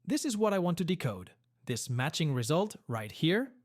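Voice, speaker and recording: A man with a somewhat high-pitched voice leads a programming walkthrough. He explains what he is doing step by step and gives the reason for it. The sound is dry, with no room echo. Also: very animated, moderate pace. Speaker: coding tutorial host.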